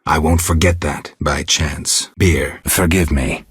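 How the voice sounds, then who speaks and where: A man playing a stoic, world-weary middle-aged monster hunter in a video game, speaking in a gravelly, husky voice with dry, laconic restraint. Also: very deep voice, fairly even intonation, takes it slowly, dry recording, heavily acted. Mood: dry, calm. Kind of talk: scripted video game character dialogue.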